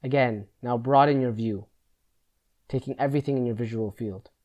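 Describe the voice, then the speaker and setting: A man leading a guided meditation, giving slow instructions in a measured, gentle, instructional manner. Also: medium pitch.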